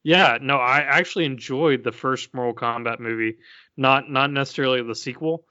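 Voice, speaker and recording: A man's voice: medium pitch, moderate pace, sharp tone, no room sound, highs cut off. A man co-hosting a casual podcast, agreeable and hesitant, with frequent ums. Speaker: podcast co-host.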